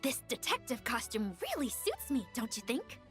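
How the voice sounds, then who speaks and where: A woman's voice: on the high side, very animated, normal speed, over music. A woman voicing a perky, theatrical, confident young actress in a video game. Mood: upbeat.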